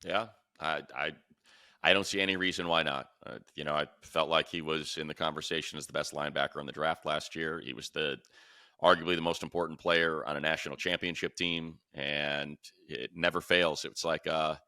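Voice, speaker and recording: A man's voice: rumbling low, fairly even intonation, a little fast, pushed and bright, clean tone, dry recording, studio quality. A man with an American accent hosting a casual sports talk show, speaking in a colloquial, emphatic way.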